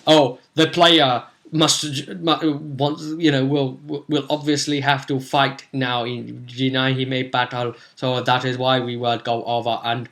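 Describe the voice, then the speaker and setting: A man's voice: mid-range voice, level tone, a bit bright. Man putting on a stilted, mock-earnest role-player. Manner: slow, deliberate, mock-earnest. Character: stilted, over-obvious role-player justifying himself.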